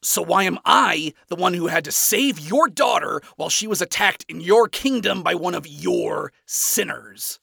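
A brash, scornful man as a male narrator acts him out in a story reading. His voice is raised and forceful with some gravel in it, and he speaks in a blunt, biting, sarcastic way, swearing freely. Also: a little high, expressive, unhurried, no room sound, studio quality, pitched above the performer's own voice, heavily acted. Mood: angry, accusing. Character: brash, scornful, foul-mouthed man ranting at his enemy.

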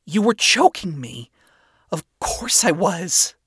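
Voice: medium pitch, sing-song, takes it slowly, a bit bright, breathy edge, dry recording, clean studio sound, voice pushed up, full character voice. A performer voicing a shaken but defiant young princess in an audiobook, earnest and plaintive. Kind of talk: acted audiobook dialogue.

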